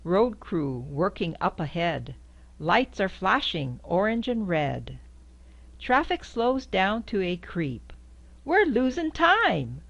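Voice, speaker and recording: An older woman reads a children's rhyming picture book slowly, her pitch bouncing widely from line to line. Her voice squeaks up high on the sound-effect words, and the home video sound is dull and lo-fi. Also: sing-song, creaky. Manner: sing-song, squeaks high on the horn sounds. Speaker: storybook reader. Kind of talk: reading a picture book aloud. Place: indoors before a quilt backdrop.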